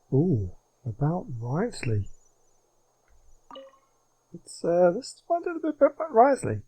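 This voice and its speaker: Man, game streamer, casual read-aloud, soft dark tone, slightly husky, faint music behind. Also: a little high, rough recording. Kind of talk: casual let's play, reading game text aloud.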